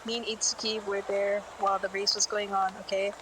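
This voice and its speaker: Woman acting brusque witness, flat tone, noisy track. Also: medium pitch, a little fast, natural voice. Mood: impatient, uneasy. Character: brusque, impatient woman witness recalling a street-race disappearance. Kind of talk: amateur audio drama acting.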